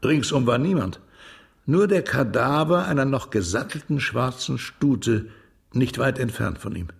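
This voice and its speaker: A man's voice: mid-range voice, lively intonation, a little slow, gravelly, slightly rough, full character voice. An actor playing a Russian émigré ex-cavalryman who reminisces animatedly in a German radio drama. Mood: musing, animated.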